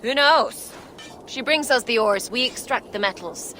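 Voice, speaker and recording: An actress playing a pragmatic female dwarf metal worker in a video game, measured and matter-of-fact. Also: on the high side, bright, forceful tone, slight background noise, poor audio. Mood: resigned, candid. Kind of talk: video game cutscene acting.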